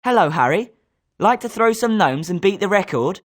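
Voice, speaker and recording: A woman's voice: mid-range voice, expressive, normal speed, natural voice. A woman with a British accent voicing a confident, sporting schoolboy wizard with a competitive streak in a video game. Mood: encouraging. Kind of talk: scripted video game character lines.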